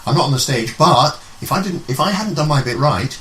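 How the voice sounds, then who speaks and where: A man's voice: a little high, expressive, brisk, crowd noise. A man hosting a conversational radio interview, often repeating words as he goes. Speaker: radio show host. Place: living room of a home. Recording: roomy echo, faint background noise.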